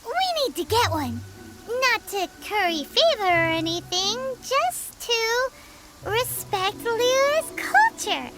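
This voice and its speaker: A woman voicing a small, excitable, greedy fairy-like girl companion in a video game, with a squeaky childlike voice and bubbly, exclamatory delivery. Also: takes it slowly, very noisy, heavily acted. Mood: flustered. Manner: bubbly, exclamatory, refers to self in third person. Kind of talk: animated video game voice acting. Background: game music.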